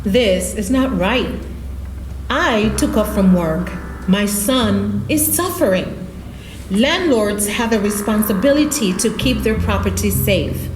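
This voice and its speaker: A woman's voice: mid-range voice, lively intonation, takes it slowly, background noise. A woman acting a worried, weary but determined single mother in a radio play. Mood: indignant, distressed. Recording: roomy, music underneath.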